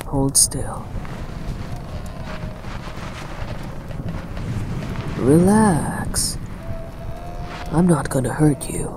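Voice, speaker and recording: A man playing a commanding, roguish yet protective pirate captain with nautical slang in an audio roleplay. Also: mid-range voice. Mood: firm, soothing. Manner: authoritative, uses nautical slang. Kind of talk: dramatic audio roleplay acting. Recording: clear background ambience noise.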